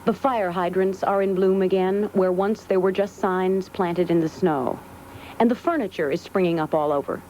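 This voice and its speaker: A woman's voice: mid-range voice, normal speed, a little mellow, slight background noise, poor audio. An American woman narrating a whimsical scripted feature for television news. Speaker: TV news reporter.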